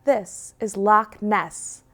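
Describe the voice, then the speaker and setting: A woman voicing a matter-of-fact, dismissive male husky in a children's story. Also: medium pitch, very slow, no echo. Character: know-it-all male husky brother.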